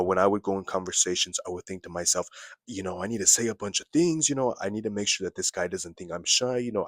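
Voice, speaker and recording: A young man with a deep voice giving casual self-improvement advice to the camera, repeating phrases for emphasis. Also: no room sound.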